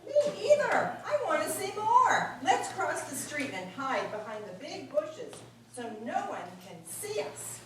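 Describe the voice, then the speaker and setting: A woman puts on a carefree little female panda for a puppet show. The voice is very high and swoops excitedly, urging and curious, heard from far off in an echoey room. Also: measured pace, full character voice. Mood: eager. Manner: urging, eager. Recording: echoey room, far mic, audience noise.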